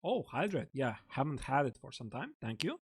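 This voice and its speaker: A man's voice: medium pitch, big pitch swings, normal speed, husky. A man coaching chess on a live stream, thinking aloud and chatting casually with viewers in a close desk mic. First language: Latvian. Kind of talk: live-streamed chess lesson, explaining lines.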